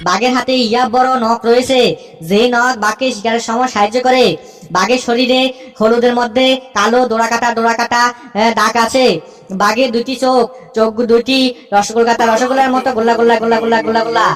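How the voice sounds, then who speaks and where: A woman's voice: a little high, little pitch movement, fast, faint music behind, lightly acted. A woman voicing a cheeky, boastful cartoon schoolboy who recites in a rote, sing-song way. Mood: confident reciting. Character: cheeky schoolboy reciting essays with cocky confidence.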